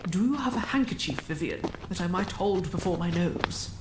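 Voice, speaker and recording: A woman's voice: lowish voice, lively intonation, moderate pace, darkish tone, roomy sound, slight background noise, close to an everyday voice. A woman playing a haughty, impatient queen in a comedy audio drama, speaking in a curt, withering, imperious way. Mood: disgusted.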